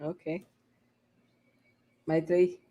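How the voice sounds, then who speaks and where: Podcast moderator, unhurried and halting, steering a panel with questions. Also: mid-range voice, level tone, dark tone, lo-fi sound. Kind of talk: hosting a panel discussion.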